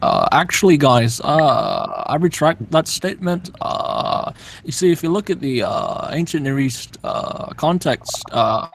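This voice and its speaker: A man's voice: on the high side, wildly swooping pitch, gravelly, a bit bright, a little hiss or hum, voice pushed up, heavily acted. A man putting on a stuffy British scholar. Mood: mock-scholarly. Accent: put-on British. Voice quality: halting, full of drawn-out ums.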